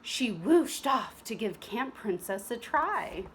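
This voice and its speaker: A woman's voice: on the high side, big pitch swings, slow, slight background noise. A woman narrating a children's storybook expressively, with teacherly asides to young listeners. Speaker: storybook reader.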